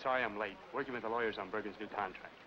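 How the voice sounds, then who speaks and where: A man playing a brisk young business manager in 1940s film style. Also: on the low side, bright, forceful tone. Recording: muffled 1940s film soundtrack, faint noise.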